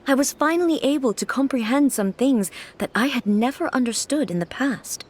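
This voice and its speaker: A woman voicing an ancient, stern goddess ruler who speaks in a measured, formal and grave way. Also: high voice, lively intonation, slight background noise. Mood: solemn. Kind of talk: game dialogue.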